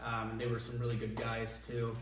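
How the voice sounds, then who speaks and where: A man, a former band singer, reminiscing casually in an interview, relaxed and rambling with many ums and restarts. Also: mid-range voice, a little fast. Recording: echoey room, voice from a distance, faint noise.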